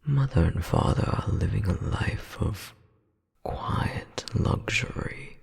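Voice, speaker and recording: A man performs a condescending royal flame demon in an audio drama, his voice very low and full of gravel. He speaks with haughty, measured formality, breathy and cold, in a long, reverberant hall. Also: animated, in a whisper, heavily acted. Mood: reproachful, haughty. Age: adult, centuries-old demon. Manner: formal, haughty, slow and deliberate. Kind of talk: dramatic audio roleplay monologue.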